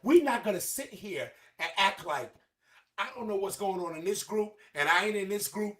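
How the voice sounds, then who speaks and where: A woman hosting a heated panel argument in a brash, profane, domineering way, with a voice she says is going hoarse. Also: medium pitch, expressive, normal speed, fairly bright tone. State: losing her voice. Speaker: host.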